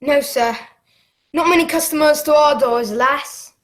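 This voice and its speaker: A woman's voice: sits high, normal speed, a bit bright. A woman playing a polite, timid fourteen-year-old innkeeper's boy in a radio play, speaking hesitantly and deferentially. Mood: nervous, polite.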